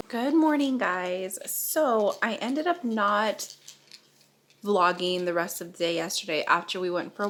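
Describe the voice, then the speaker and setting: A woman's voice: mid-range voice, background noise. Chatty young woman with a congested voice. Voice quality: nasal, congested. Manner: chatty, rambling, laughs often. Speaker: vlogger, stay-at-home mom. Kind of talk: casual chat to camera.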